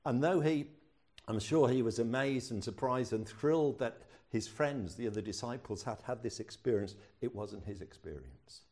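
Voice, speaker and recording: A man's voice: mid-range voice, normal speed, a little mellow. An older man, a pastor preaching a sermon in a chatty storytelling way with self-deprecating humor.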